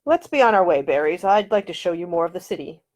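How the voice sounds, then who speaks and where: A woman voicing a wry, sardonic, moody gentleman in a historical audiobook. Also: on the low side, big pitch swings, fast. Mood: cordial.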